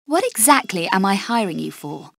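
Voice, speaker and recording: A woman's voice: medium pitch, big pitch swings, moderate pace, creaky, a bit bright, dry recording, full character voice. An actress playing a cool, sarcastic female adventurer with clipped, dry lines in a video game. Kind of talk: video game cutscene voice acting.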